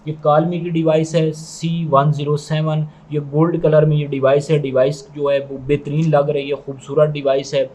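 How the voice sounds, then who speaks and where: A man's voice: on the high side, little pitch movement, moderate pace, hardly any pauses, a little mellow. A man giving product review commentary, explaining methodically and repetitively, step by step.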